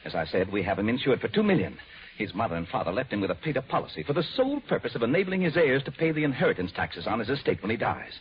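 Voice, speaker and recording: Male actor as anxious, precise office executive. Exasperated, extremely animated pitch, old-time radio show with dull sound. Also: rapid-fire, slight background noise, natural voice. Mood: exasperated, grave. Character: fussy, anxious male insurance company manager. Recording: dull vintage radio recording.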